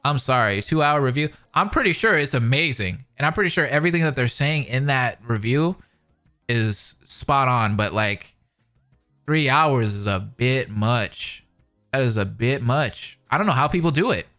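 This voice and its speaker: Bright-voiced American man streaming casually. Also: medium pitch, animated, normal speed, faint music behind. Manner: slangy, laid-back, conversational. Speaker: streamer, reaction commentator. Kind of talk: casual livestream chat with viewers, reading out comments.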